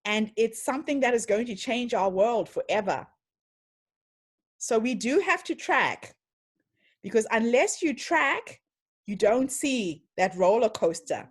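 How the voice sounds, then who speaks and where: A woman with a slightly high voice speaks to an audience over a video call from her home. She lectures with enthusiasm and tells stories as she explains her ideas, then takes questions. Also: expressive, measured pace, husky. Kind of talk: online lecture and Q&A.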